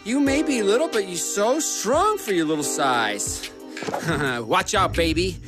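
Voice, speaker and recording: A man's voice: high-pitched, expressive, a little slow, music in the background. The tiny, vain porcupine manager who leans over your cubicle in a cartoon, smug and corny, his voice bouncing about. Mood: self-admiring. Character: small, vain, chummy male porcupine office boss. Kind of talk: animated comedy acting.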